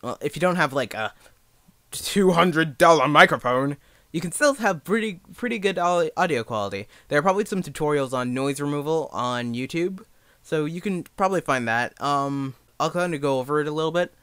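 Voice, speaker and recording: Young adult male amateur tutor, slightly high voice, rambling and self-effacing, recorded dry without room sound. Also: animated, sharp tone, clean tone, no room sound. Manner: rambling, halting, self-deprecating. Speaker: amateur voice actor giving advice. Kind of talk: casual unscripted tutorial.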